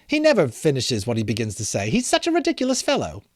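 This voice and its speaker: A man's voice: mid-range voice, wildly swooping pitch, fast, no room sound, studio quality. A man voicing a playful, teasing young Victorian wife in a storybook, in an exclaiming, fondly scolding manner. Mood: amused, teasing.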